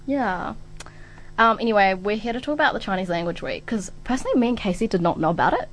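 A young woman hosts a laid-back radio chat with a friend. She talks at an easy, ordinary pitch and pads her sentences with fillers. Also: fast, a little hiss or hum, poor audio. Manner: relaxed, filler-heavy, laughs often. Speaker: radio host. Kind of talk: casual chat.

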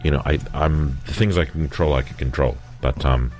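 A man's voice: rumbling low, brisk, darkish tone, soft background music. A man who is a singer, talking reflectively in an interview and laughing easily. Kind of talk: conversational interview.

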